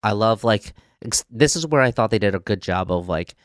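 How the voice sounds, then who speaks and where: Low-voiced Midwestern man, smooth and dry. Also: animated, brisk, dry recording. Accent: Midwestern American. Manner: thoughtful, lots of "like" fillers. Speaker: podcast co-host. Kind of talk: casual chat. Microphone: podcasting mic.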